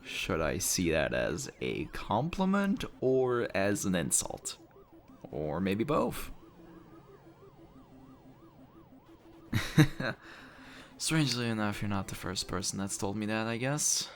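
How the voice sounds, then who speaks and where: Man acting a low, gravelly, flirty arcade nerd. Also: sing-song, slow, heavily acted. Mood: playful, teasing. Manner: casual, teasing, chuckles often, slightly bashful. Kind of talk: intimate audio roleplay, one-sided casual dialogue. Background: music.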